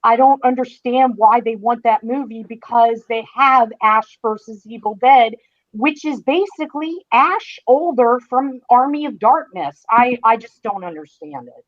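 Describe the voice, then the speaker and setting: A woman guest on a podcast, giving casual fan commentary in an emphatic, deliberate way. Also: a little high, highs cut off.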